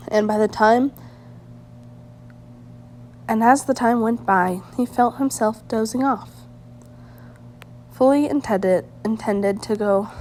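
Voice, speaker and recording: Slow-paced woman reading a story aloud. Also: fairly high pitch, a little mellow. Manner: halting reading with stumbles and repeated phrases. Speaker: fan fiction reader. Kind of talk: storybook-style narration read aloud.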